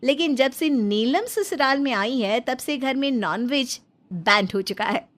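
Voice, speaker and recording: A woman's voice: high voice, lively intonation, a little slow, a bit bright. A woman with an Indian accent giving scripted narration for a cartoon story.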